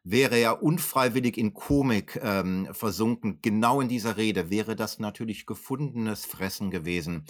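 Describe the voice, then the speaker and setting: Low-voiced man explaining, hesitant but articulate. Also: normal speed, few pauses. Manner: articulate, educated phrasing with frequent hesitations and self-corrections. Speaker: historian being interviewed as an expert. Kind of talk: explanatory interview answers, unscripted.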